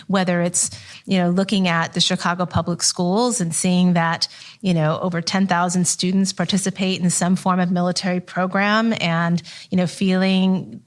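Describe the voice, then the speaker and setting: A woman ethnographer speaking thoughtfully at a live roundtable, peppering her points with fillers. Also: lowish voice. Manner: thoughtful, many "you know" fillers. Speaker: ethnographer and scholar panelist. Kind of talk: roundtable discussion.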